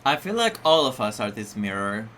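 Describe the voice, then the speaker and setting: A woman's voice: very deep voice, sing-song, normal speed, a bit bright, a little hiss or hum. A woman from Greece, giving casual, wry and teasing commentary as she plays a story game. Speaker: gamer reacting to a story quest.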